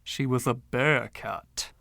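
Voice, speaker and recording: A man's voice: mid-range voice, fairly even intonation, slow, vocal fry, sharp tone, dry recording, studio quality, heavily acted. A man voicing a boastful, giggly 1920s dandy in a storybook reading, with a silky voice and theatrical, teasing old-fashioned slang. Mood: teasing, dismissive.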